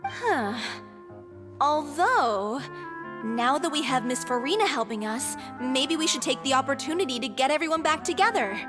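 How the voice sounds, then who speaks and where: A woman's voice: sits high, animated, normal speed. An actress voicing an earnest young woman of a theatre troupe in a video game, explaining things sincerely. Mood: hopeful. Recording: loud music behind the voice.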